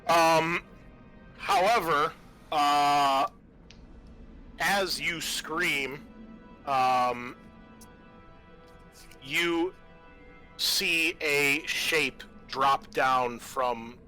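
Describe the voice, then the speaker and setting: Bright-voiced man running a tabletop game. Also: fairly high pitch, drawn-out words, pauses often. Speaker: game master. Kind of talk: narrating a tabletop roleplaying game with friends. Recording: faint music behind the voice.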